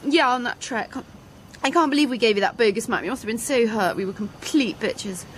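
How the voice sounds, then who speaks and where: A woman's voice: on the high side, brisk, a little hiss or hum, close to an everyday voice. An actress playing a gushing, self-pitying young woman with an English accent and a dramatic manner in a comedy drama. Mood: soft, grateful. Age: young adult.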